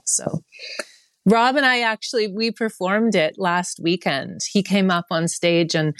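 A woman's voice: lowish voice, no room sound. A woman musician being interviewed, chatting casually and rambling with lots of fillers. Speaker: musician guest.

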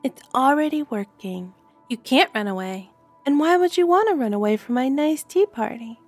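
A creepy little girl coos and coaxes in a high, bouncing, childish sing-song, as a woman puts her on. Sweet on the surface and sinister underneath, she fits a spooky storybook read aloud. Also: lively intonation, moderate pace, dry recording, soft background music. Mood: gleeful.

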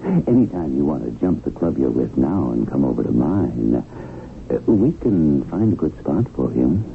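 An actor playing a smug, slick, needling devilish tempter in a radio drama, talking in a cocky, colloquial way. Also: male voice, a bass voice, big pitch swings, normal speed, gravelly, phone-quality sound, full character voice. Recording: thin band-limited radio broadcast, faint hiss.

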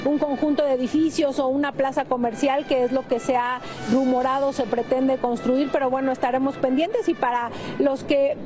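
A woman's voice: high-pitched, fairly even intonation, normal speed, over music. A woman giving a live news report as a field reporter.

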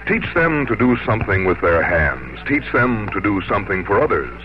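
A man's voice: lowish voice, sing-song, normal speed. An American man working as a radio announcer, reading scripted sponsor copy in 1950s broadcast style. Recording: dull lo-fi 1950s radio, music behind. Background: music.

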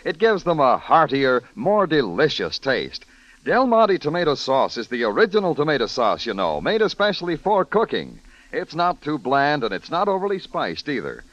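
A male radio announcer reads a 1940s-style sponsor pitch. His fairly high voice is very lively, heard on a dry, slightly muffled broadcast. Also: moderate pace, no echo. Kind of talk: 1940s radio commercial read.

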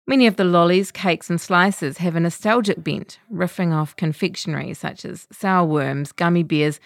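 A woman reading a scripted feature article in a measured, composed way. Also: on the low side, no echo. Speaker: narrator of a long-read podcast.

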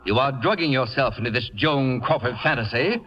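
A man's voice: medium pitch, brisk, fairly bright tone, natural voice. An actor playing an imperious, self-important man of letters in a radio comedy, pompous, sardonic and cutting. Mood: scornful, outraged. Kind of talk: 1950 radio comedy acting. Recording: reverberant, dull old radio broadcast recording.

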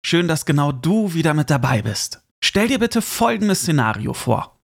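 A man's voice: on the high side, very animated, moderate pace, gravelly. A man hosting a casual solo podcast, chatting informally and speaking directly to the listener. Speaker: podcast host. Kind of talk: casual solo podcast monologue with gift tips.

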